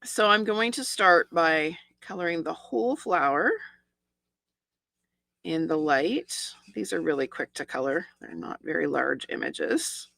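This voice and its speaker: A middle-aged woman with a hoarse, croaky voice leads a casual craft tutorial, talking through each step with friendly asides. Her voice sits a little low but sounds bright and forward. The sound is dry and close, with no room echo. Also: animated, measured pace. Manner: chatty, explains each step with asides and small laughs. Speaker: stamping and card-making demonstrator.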